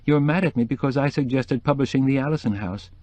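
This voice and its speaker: A man voicing a breathless, anxious young woman who talks quickly and sounds worried. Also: mid-range voice, a little mellow, no room sound, voice pushed up, lightly acted.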